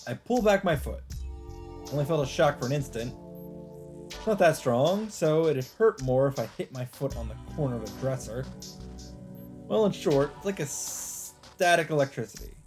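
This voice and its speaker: A man's voice: on the high side, animated, normal speed, darkish tone. A man reading a visual novel's narration aloud as a let's player. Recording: music playing behind the voice. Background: game background music.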